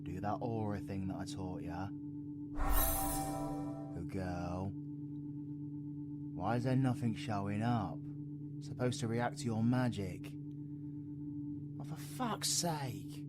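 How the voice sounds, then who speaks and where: A man with a British accent playing a brooding, foul-mouthed dark-magic supervillain in an audio roleplay, intense and full of slang. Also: fairly low pitch, slow, long pauses, loud music behind the voice. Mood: frustrated, searching. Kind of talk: dramatic audio roleplay acting.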